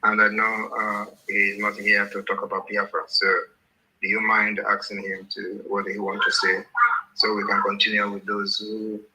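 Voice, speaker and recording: A man, speaking in a halting, deliberate way as he leads a political discussion. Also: fairly low pitch, completely flat delivery, bright, forceful tone, slight background noise, poor audio. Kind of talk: moderated online political discussion.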